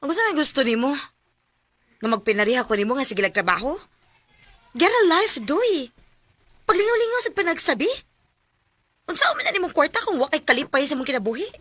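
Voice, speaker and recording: A woman acts a carefree role in a radio drama, with a bright, sharp voice that pitches a little high. She talks back in a defiant way. The recording is dry and close. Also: big pitch swings, brisk, long pauses, dry recording.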